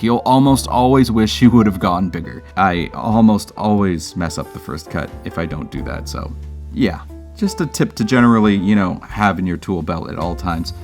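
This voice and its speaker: Man, low voice, DIY show host, upbeat scripted narration, playful chatty asides, music behind. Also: darkish tone.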